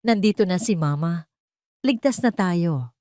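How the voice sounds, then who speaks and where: A woman's voice: fairly low pitch, animated, measured pace, mellow, dry recording, studio quality. A woman playing a weary, anxious young mother with a pleading manner in an audio drama. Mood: tender.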